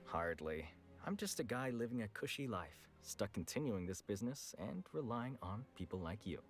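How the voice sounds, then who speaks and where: An actor playing a frank, world-weary weapons merchant in a video game, with a casual, blunt manner. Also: male voice, fairly low pitch, sing-song, moderate pace. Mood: resigned. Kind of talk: scripted game voice acting. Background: background music.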